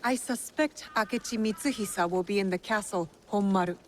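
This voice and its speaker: A woman playing a determined young female shinobi avenger in a video game, with a steady, resolute manner. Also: mid-range voice, brisk, a little hiss or hum, lightly acted. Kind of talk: video game cutscene acting.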